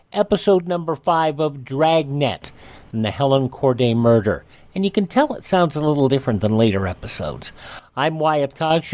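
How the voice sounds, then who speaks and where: A man's voice: a little high, a little mellow. An American man hosts a radio program. He speaks conversationally, with a folksy, personal manner suited to introductions and host-read endorsements.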